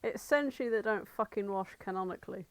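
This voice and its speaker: A woman's voice: medium pitch, quick pace, no room sound. Blunt, sweary female podcast co-host. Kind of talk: casual banter about fandom.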